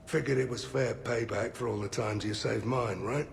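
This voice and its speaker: An actor playing a gruff but friendly soldier in a video game, with a gravelly voice and a blunt, matey way of talking. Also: male voice, medium pitch, steady tone, moderate pace, a little hiss or hum, close to an everyday voice. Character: gruff, friendly male soldier with broken nose.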